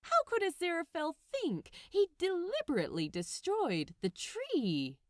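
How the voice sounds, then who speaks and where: A woman narrating fiction aloud in a dramatic, expressive way with deliberate phrasing. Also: very high voice, no room sound, studio quality. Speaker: podfic narrator. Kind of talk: expressive scripted story narration.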